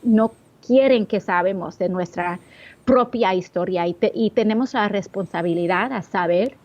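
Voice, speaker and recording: A young woman from the Bronx whose first language is English, answering interview questions in halting, searching speech with frequent restarts. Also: medium pitch, darkish tone. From the Bronx, New York. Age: young adult. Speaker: interview guest.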